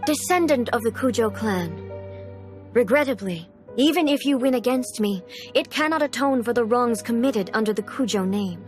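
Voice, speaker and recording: A woman as an imperious, high-voiced female Shogun. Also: lively intonation, moderate pace, darkish tone, soft background music, natural voice. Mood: stern, blunt. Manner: formal, commanding, blunt. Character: imperious, stern, almighty female Shogun ruler. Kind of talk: scripted video game character acting.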